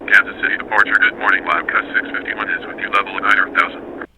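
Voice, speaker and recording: Male actor as airline pilot, lowish voice, businesslike, radio effect, noisy cockpit, simulator game. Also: level tone, a little fast, phone-quality sound. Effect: aircraft radio filter. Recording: loud cockpit radio noise.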